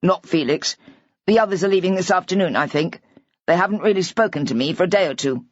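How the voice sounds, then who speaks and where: A woman's voice: on the low side, fast, no room sound, muffled recording, lightly acted. A woman reading as a distraught older husband. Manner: hysterical, rambling.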